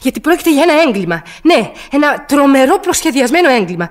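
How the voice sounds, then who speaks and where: An anxious, imperious woman in a radio drama. Her voice is high and swings widely in pitch. She stammers and presses her demands with agitation. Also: big pitch swings. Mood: urgent, indignant. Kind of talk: radio play acting.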